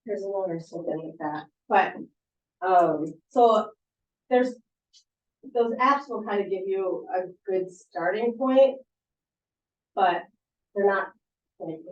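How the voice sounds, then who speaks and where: A woman's voice: lowish voice, darkish tone. A woman sleep therapist casually answering audience questions, talking informally with fillers and restarts. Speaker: sleep therapist giving a talk. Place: meeting room at a support center. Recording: echoey room, distant mic, muffled.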